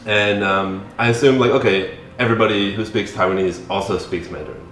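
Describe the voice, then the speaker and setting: A man in his thirties, chatting casually as a guest, relaxed and filler-heavy, with many "like"s. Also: fairly low pitch, fairly quick. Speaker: guest teaching Taiwanese. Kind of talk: casual chat. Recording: echoey room with faint music.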